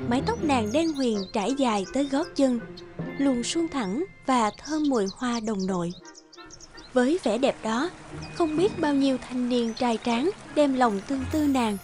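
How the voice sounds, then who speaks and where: A woman reading scripted folk-tale narration with measured storytelling delivery. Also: high-pitched, darkish tone, faint music behind.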